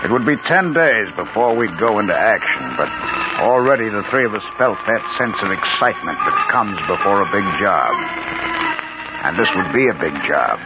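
A dull vintage radio recording with prominent music carries a cool American crime boss. He is a confident man telling his own story, with a mid-pitched, very mobile voice in classic radio drama manner. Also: sing-song, normal speed. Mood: tense, measured. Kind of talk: old-time radio drama acting and narration.

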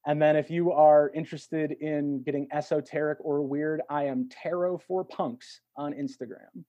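A man's voice: a little high, steady tone, moderate pace, warm tone, no room sound, dull audio. A man talking in a reflective, conversational way as a podcast guest, encouraging and reassuring as he gives advice. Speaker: podcast guest, actor and tarot reader.

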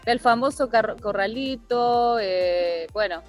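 A woman in her forties with an Argentine accent, telling her own story as an interview guest, often pausing to search for words. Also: a little high. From Bahía Blanca, Argentina. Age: 40s. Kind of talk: personal storytelling in a casual interview. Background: music.

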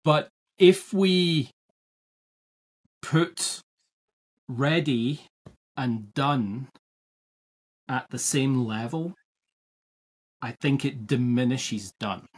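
A Scottish man with a husky, slightly breathy voice at a middle pitch, talking through ideas on a podcast. He is thoughtful and casual, often restarting a phrase while he hunts for the right word. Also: very slow, pauses often. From Scotland. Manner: thoughtful, restarts and searches for words. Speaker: podcast co-host.

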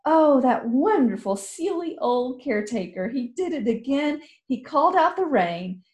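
Lady reading as a bold little princess, squeaky high and urgent, roomy sound. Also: very animated, measured pace, darkish tone. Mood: delighted. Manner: breathless, urgent exclaiming. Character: excited young princess girl, bold. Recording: roomy echo.

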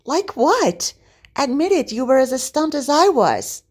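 A woman's voice: high voice, sing-song, a little slow, pitched above the performer's own voice. A woman voicing a cheeky young male manservant in a story, speaking in a familiar, pleading, teasing way.

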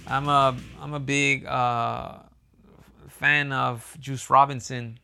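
A man talking casually and at length as a wrestling fan, with a loud booming voice, full of restarts and fillers. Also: little pitch movement, slow pace, vocal fry, clear, resonant tone, soft background music. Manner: rambling, repeats himself, many fillers and restarts. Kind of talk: casual monologue.

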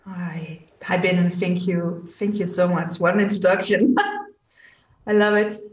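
A woman from Denmark talks slowly and haltingly, full of ums, likes and restarts, her voice a touch low for a woman. She sits a little back from the mic in an echoey room. She sounds like a guest on a casual interview show. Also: fairly even intonation, distant mic. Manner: hesitant, many fillers and restarts. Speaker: podcast guest, business strategist.

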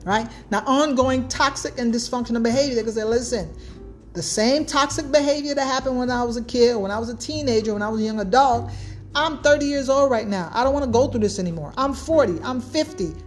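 A woman with a fairly high voice hosts a solo podcast talk. She speaks emphatically and repeats herself for stress, often opening with "now" and asking "right?". Faint music plays quietly behind her. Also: a little fast. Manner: repetitive, emphatic, starts points with "now" and tags "right?". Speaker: podcast host.

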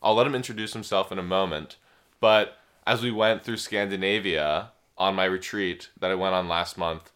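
A man co-hosting a comedic health podcast, stuffy from a cold, making enthusiastic deadpan claims. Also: low voice, smooth. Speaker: podcast co-host.

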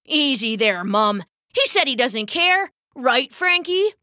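A chilled-out werewolf surfer guy, his bright voice bouncing around a wide range as he drawls along unhurried, as a woman reading a storybook puts him on. The sound is dry and close. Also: high voice, big pitch swings, no room sound, pitched above the performer's own voice, exaggerated voice. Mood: laid-back. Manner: surfer slang, drawling and easygoing. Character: laid-back male werewolf surfer dude.

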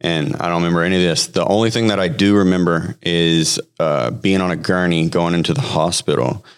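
Deep-voiced man in his thirties, podcast guest sharing his testimony; loose and halting, lots of fillers. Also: clear, resonant tone. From Hawaii. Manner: halting, frequent fillers and restarts. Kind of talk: personal testimony, conversational storytelling.

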